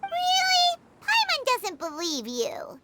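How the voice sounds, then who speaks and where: Casting: tiny floating game fairy, piercingly high and squeaky, wildly swooping delivery, excitable and huffy. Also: female voice, slow, noisy background, heavily acted. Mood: exasperated. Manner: refers to self in third person, exclamatory. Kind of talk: video game voice acting. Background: music.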